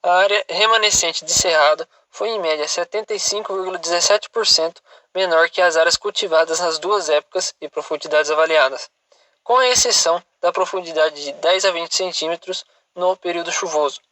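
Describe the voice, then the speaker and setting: A man's voice: fairly high pitch, a little fast, pushed and bright, dry recording, poor audio. A man with a Brazilian accent reading a scripted academic presentation aloud as a student, in a formal, recited way with occasional stumbles.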